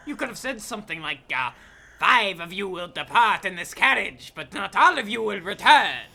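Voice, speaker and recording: Shrill, fussy vampire's servant. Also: male voice, expressive, moderate pace, vocal fry, pushed and bright, exaggerated voice. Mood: haughty. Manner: theatrical, scolding, bickering. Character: fussy, indignant, vain male vampire's servant. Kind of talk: comedic audio drama acting.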